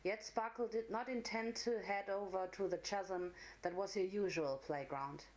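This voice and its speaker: A woman's voice: medium pitch, moderate pace, a little mellow, poor audio. Woman narrating a dramatic fantasy story.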